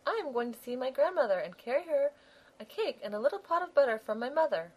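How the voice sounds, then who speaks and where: A man voicing a polite, trusting little girl in a storybook reading, with a high, bright, eager voice. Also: sing-song, quick pace, slightly rough, full character voice, poor audio. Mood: innocent.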